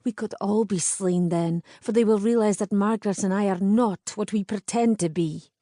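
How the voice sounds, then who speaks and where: A woman voicing a bold, steady young Scottish woman with a firm, commanding manner, in a Scottish accent. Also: medium pitch, normal speed, dry recording, studio quality, natural voice. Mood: urgent. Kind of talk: audiobook character voice.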